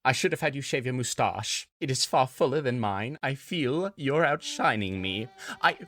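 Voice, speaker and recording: A man's voice: mid-range voice, expressive, normal speed, fairly bright tone. Actor as a pompous, fussy nobleman groom. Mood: haughty, anxious. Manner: haughty, fussy, complaining. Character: pompous, anxious nobleman groom in fantasy tale. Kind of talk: fantasy audio drama acting.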